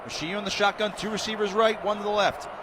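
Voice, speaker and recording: An American man doing live radio play-by-play of a football game in excitable, clipped running descriptions. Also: high-pitched, quick pace, crowd noise. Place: press box high above a stadium. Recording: loud stadium noise, outdoor broadcast.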